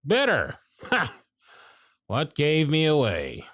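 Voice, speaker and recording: A man voicing a jaded, century-old male workshop supervisor who is gruff, weary, sardonic and blunt, in an ASMR roleplay with close miking. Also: on the high side, huge pitch swings, takes it slowly, a bit bright, no room sound, heavily acted. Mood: bitter, exasperated. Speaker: voice actor in audio roleplay.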